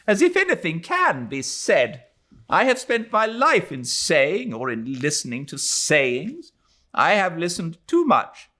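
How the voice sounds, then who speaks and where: A man's voice: high voice, huge pitch swings, measured pace, sharp tone, pitched above the performer's own voice, full character voice. A man voicing a bitter, weary old woman. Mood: sour. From Britain. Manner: sour, clipped, resentful.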